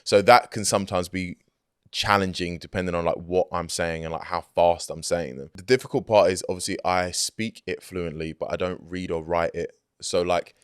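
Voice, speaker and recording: A young man with a deep, smooth, resonant voice chats easily as an interview guest. His talk is laid-back and loose, full of filler phrases. The sound is close and dry, as in a studio. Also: fairly even intonation, moderate pace, dry recording. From Greek heritage, grew up outside Greece. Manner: laid-back, peppered with "like" and "you know what I mean". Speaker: hip-hop artist guest. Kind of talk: casual interview chat. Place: recording studio.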